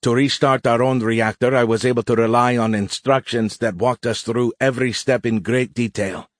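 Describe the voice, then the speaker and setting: A man's voice: medium pitch, fairly even intonation, normal speed, no room sound, close to an everyday voice. A man voicing a weary, blunt young female alien cadet in an audiobook, speaking formally and with emphatic insistence. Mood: exhausted, adamant.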